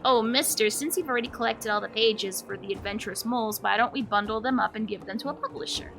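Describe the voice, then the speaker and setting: A woman's voice: a little high, quick pace, creaky, a bit bright, faint music behind. A woman voicing an eager young child from a video game, chirpy and pleading. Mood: eager, cheerful. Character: eager young child who loves storybooks.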